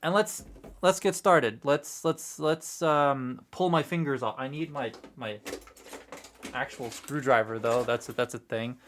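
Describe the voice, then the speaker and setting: Host: male, stuffy-nosed from a recent cold, rambling chatty livestream talk, mid-pitched, desk mic at home. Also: measured pace, fairly bright tone, a little hiss or hum. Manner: rambling, chatty, many fillers and restarts. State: congested, recovering from a cold. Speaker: toy review livestream host. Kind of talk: casual live chat while building a kit. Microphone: desk mic close by.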